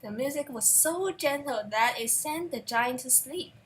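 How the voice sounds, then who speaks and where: A woman's voice: high voice, lively intonation, measured pace, slight background noise. A young female reader narrating a fairy tale aloud from a book. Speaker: storybook reader.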